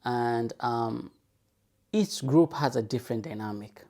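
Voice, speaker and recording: A man's voice: mid-range voice, measured pace, dark tone. A man in a casual, reflective solo podcast monologue, thinking aloud, repeating phrases for emphasis and asking rhetorical questions. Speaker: podcast host.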